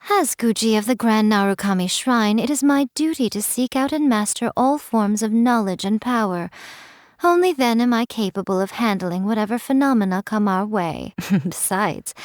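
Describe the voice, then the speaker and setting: A woman's voice: on the high side, a little mellow, dry recording, clean studio sound, natural voice. A woman voicing a sly, teasing fox-spirit shrine priestess in a video game, speaking in a languid, amused way. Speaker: game character voice.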